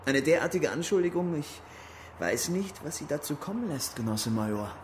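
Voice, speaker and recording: An actor playing a young soldier, cunning and coolly defiant, speaking in a controlled, formal, evasive way in a radio crime drama. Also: male voice, on the high side, animated, normal speed, slight background noise, close to an everyday voice. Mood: guarded. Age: young adult.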